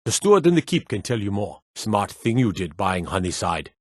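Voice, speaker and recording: A man voicing a gruff, wary city guard in a fantasy video game, stern, clipped and world-weary. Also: on the low side, big pitch swings, moderate pace, a little mellow, no room sound, clean studio sound. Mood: plain, matter-of-fact. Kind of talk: video game character dialogue.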